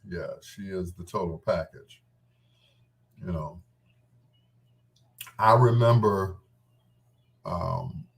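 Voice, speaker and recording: A man's voice: on the low side, distant mic. A middle-aged man reminiscing casually in a rambling, candid and playful way, as the host of his own show. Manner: informal, candid, playful storytelling with self-quoting.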